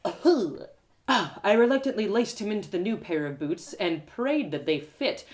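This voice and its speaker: A woman storyteller reading first-person fiction aloud in an expressive, theatrical way. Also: medium pitch, unhurried. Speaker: author and storyteller.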